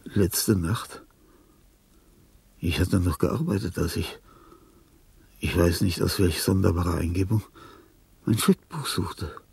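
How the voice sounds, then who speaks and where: An actor playing a frail, dying old composer in a radio play, self-pitying and grandiose, rambling theatrically with a breathy, husky voice that often falls to a whisper. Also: male voice, low-pitched, slow pace, pauses often, darkish tone, full character voice. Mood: suspicious. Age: older. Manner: theatrical, rambling, with sudden swings from murmur to outburst. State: frail, ailing.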